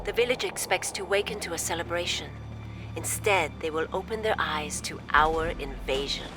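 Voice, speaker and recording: A woman's voice: fairly low pitch, moderate pace, lightly acted. A woman playing a formal female logistics officer, giving mission briefings in a game. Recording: music playing behind.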